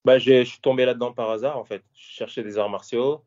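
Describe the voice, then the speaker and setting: A man's voice: medium pitch, steady tone, fast talker, a little mellow. A man in his thirties from Paris, a former fighter and coach, answering interview questions casually with lots of fillers and restarts. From France, Paris. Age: 30s. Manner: hesitant, many fillers and restarts. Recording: dull lo-fi audio.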